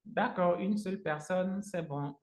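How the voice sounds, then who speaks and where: A man whose native language is Agni, teaching a live software tutorial step by step as an online trainer, often repeating his phrases. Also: high voice, a little slow. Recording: roomy, echoing sound.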